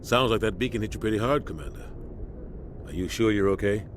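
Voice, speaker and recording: An actor playing a seasoned, loyal military starship captain in a video game, grave and measured with authority. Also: male voice, lowish voice, lightly acted. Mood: firm concern. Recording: clear engine-like ship noise behind.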